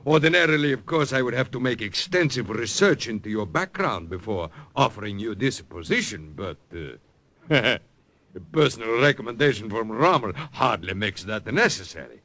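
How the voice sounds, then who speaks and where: An actor playing a suave, scheming police chief, coaxing and smooth in 1940s radio style. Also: male voice, medium pitch, expressive, moderate pace, sharp tone.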